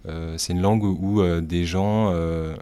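A man's voice: low voice, steady tone, measured pace, mellow. A man being interviewed, reasoning carefully and step by step with many fillers. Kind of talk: analytical testimony.

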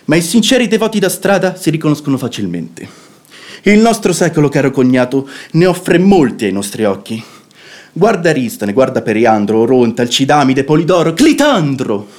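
An actor playing a sensible, outspoken brother-in-law in a classical radio comedy, declaiming with emphatic oratory. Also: male voice, mid-range voice, very animated, normal speed, a little mellow, natural voice. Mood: scornful, earnest.

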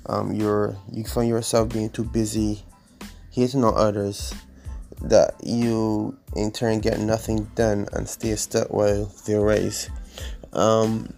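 A man hosting a solo motivational podcast, congested from allergies, talking haltingly with many ums and restarts. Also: fairly low pitch, flat delivery, gravelly, mellow, faint music behind. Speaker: podcast host.

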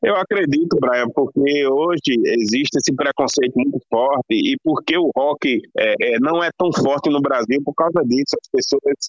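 A man from Rio Grande do Norte with a Northeastern Brazilian potiguar accent, giving long, passionate answers as a guest bass player. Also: moderate pace, hardly any pauses, no echo, highs cut off. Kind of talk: interview answers.

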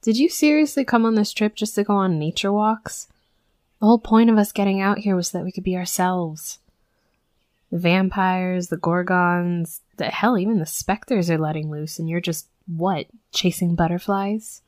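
A woman's voice: fairly low pitch, fairly even intonation, normal speed, a little mellow, no room sound, studio quality, natural voice. A young woman playing a brash, sweary tsundere werewolf bully who scolds with grudging protectiveness, in a scripted audio roleplay. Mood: teasing, smug. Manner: scolding, sarcastic, sweary, exasperated. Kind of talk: scripted first-person roleplay audio acting.

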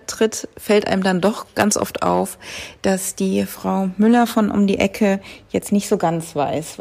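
A woman, hosting her own podcast in a relaxed, chatty way with lots of fillers. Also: medium pitch, creaky. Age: 30s. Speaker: podcast host. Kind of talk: casual solo podcast talk.

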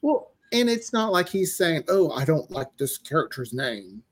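Chatty male guest, slightly high voice. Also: sing-song, normal speed. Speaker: podcast guest, audio drama writer. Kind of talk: casual chat.

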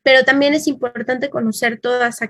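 A woman's voice: high-pitched, steady tone, normal speed, a bit bright, dry recording, studio quality. A Mexican woman giving an informal lecture, talking conversationally and thinking aloud with small fillers.

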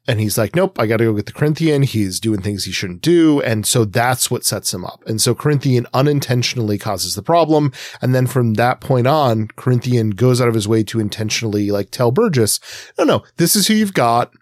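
A man's voice: mid-range voice, animated, a little fast, darkish tone, no echo, studio quality. A man co-hosting a podcast, chatting casually and giving opinionated critique in an informal way. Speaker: podcast co-host.

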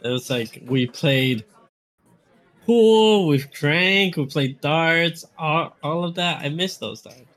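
A man's voice: fairly high pitch, takes it slowly, fairly bright tone. A young man co-hosting a casual podcast, stumbling over his words and giggling as he talks. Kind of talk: casual chat.

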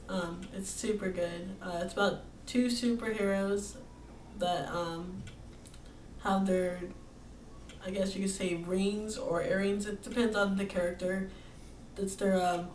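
A soft-spoken woman co-hosting a casual podcast, hesitant with many filler words. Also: mid-range voice. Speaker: podcast co-host. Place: indoor room. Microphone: set far from the mouth. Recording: echoey room, far from the mic, faint hiss.

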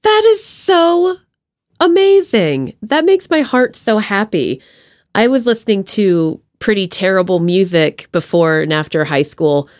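A woman hosting a podcast in casual chat, with a gushing, expressive manner. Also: mid-range voice, unhurried, dry recording, clean studio sound. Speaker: podcast host.

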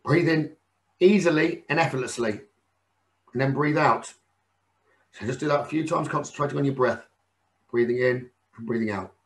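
Male meditation teacher, soothing and unhurried, mid-pitched, leaving space between phrases. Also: fairly bright tone. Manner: soothing, unhurried, with frequent pauses. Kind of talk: guided meditation narration.

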